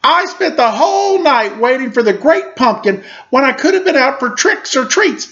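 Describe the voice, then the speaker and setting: A man's voice: very high-pitched, big pitch swings, normal speed, a bit bright, pitched above the performer's own voice, heavily acted. A man doing an indignant fed-up little girl. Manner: exasperated, complaining. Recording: slightly dull lo-fi recording.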